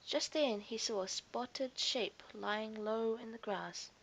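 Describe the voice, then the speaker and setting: A woman's voice: a little high, slow pace, a bit bright, rough recording. A woman narrating a scripted children's story expressively, as a storyteller to young listeners.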